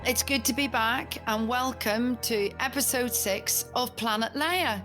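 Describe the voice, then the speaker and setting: A woman's voice: a little high, measured pace, music in the background. A woman in her forties from Northern Ireland with a Northern Irish accent, co-hosting a podcast in a chatty, enthusiastic, rambling way. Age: 40s. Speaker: podcast co-host. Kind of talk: casual podcast chat.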